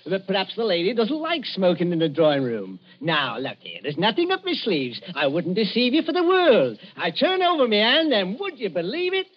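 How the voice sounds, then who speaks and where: A man's voice: high voice, wildly swooping pitch, normal speed, few pauses, breathy edge, heavily acted. An actor with a London accent playing a brash magician posing as a witch doctor, full of breezy theatrical patter in a radio drama. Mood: showy, breezy. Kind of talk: old-time radio drama acting. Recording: muffled lo-fi old radio recording.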